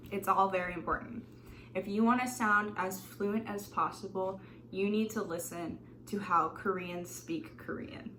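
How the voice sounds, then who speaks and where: A woman's voice: medium pitch, a little hiss or hum, poor audio. A young woman giving casual, self-mocking tips straight to camera in her bedroom. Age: young adult. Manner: chatty, animated, self-mocking, drops asides and laughs. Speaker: vlogger. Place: small bedroom, indoors.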